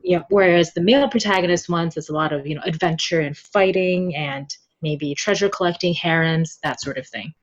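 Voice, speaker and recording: A woman's voice: fairly low pitch, a little fast, few pauses, highs cut off. A woman speaking as a podcast guest, chatty and candid in a casual conversational interview. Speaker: podcast guest, web novel translator.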